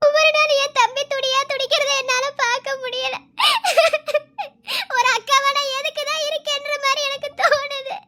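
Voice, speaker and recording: A woman's voice: very high-pitched, level tone, fairly quick, few pauses, bright, forceful tone, a little hiss or hum, voice pushed up. A woman voicing a tearful young wife grieving for her brother, speaking through sobs. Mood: anguished. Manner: sobbing, pleading. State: crying.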